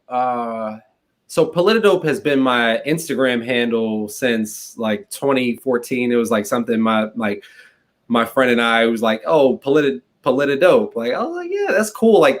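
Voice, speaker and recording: A man in his late twenties speaks as a podcast guest, a political consultant. He talks quickly and casually, with a constant stream of "like" fillers. His voice sits at an ordinary middle pitch. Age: 20s. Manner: fast, conversational, peppered with "like". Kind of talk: casual interview chat.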